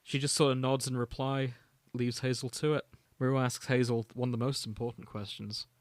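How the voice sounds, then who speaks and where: A man with a mid-pitched voice reads and retells a piece of fan fiction for a podcast. He moves between narrated prose and casual, conversational asides. The sound is dry, with no room around the voice. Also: flat delivery, a little fast, dry recording. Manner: storytelling, alternating read-out prose with casual asides. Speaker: podcast host. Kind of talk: reading and summarizing fan fiction.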